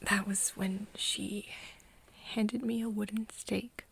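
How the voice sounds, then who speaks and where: A woman playing an earnest young woman confiding in a friend in an ASMR roleplay, speaking softly and haltingly, often in a whisper. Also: medium pitch, level tone, a little hiss or hum. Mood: solemn, hushed. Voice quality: soft, breathy, often whispering. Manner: halting, hesitant confiding with pauses. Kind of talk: ASMR roleplay, intimate one-sided conversation.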